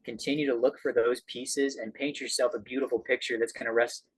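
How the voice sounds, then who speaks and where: A man's voice: mid-range voice, flat delivery, brisk, breathy edge, rough recording. A man giving casual motivational advice in an earnest, encouraging way as a guest speaker.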